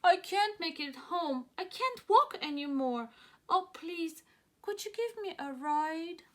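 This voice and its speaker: A woman's voice: extremely high pitch, lively intonation, slow pace, fairly bright tone, pitched above the performer's own voice, exaggerated voice. A woman voicing a small, sweet, trusting boy in a storybook, with a pleading, childlike singsong. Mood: weary, pleading.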